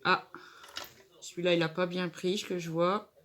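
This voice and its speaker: A woman's voice: fairly low pitch, steady tone, moderate pace, a bit bright. A woman with a cold and a nasal voice, chatting casually as she makes a table decoration and thinks aloud. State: stuffy nose from a cold. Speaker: craft vlogger. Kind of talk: casual crafting tutorial.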